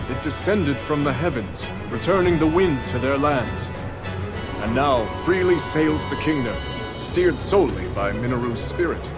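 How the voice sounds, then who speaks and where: An actor playing a noble, earnest, composed warrior leader in a video game, speaking in a formal, measured way. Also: male voice, medium pitch, expressive, natural voice. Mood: solemn. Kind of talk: video game cutscene acting. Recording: loud game music behind.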